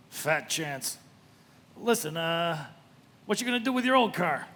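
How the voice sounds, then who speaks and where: An actor playing an ailing, anxious working-class garage owner, pleading and bitter, in a live radio play. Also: male voice, fairly high pitch, expressive, takes it slowly, pushed and bright, exaggerated voice. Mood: desperate, uneasy. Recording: reverberant hall of a live performance.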